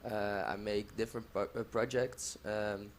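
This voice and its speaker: A man's voice: lowish voice, steady tone, a little slow, a little hiss or hum. A young man answering as an interview guest, hesitant and searching for words. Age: young adult. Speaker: guest, theater maker.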